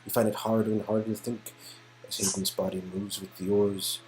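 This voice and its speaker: A man reading out the narration of an interactive romance game in a soft storytelling style. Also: on the low side, little pitch movement, normal speed, faint music behind, low-quality recording. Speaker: narrator and performer.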